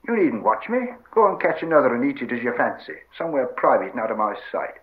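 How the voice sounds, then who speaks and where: A man plays a practical hobbit servant from a storybook, stubborn and gruff. He scolds in homely rustic phrases with very animated pitch. The recording is a little dull. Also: fast, natural voice. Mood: stubborn, scolding.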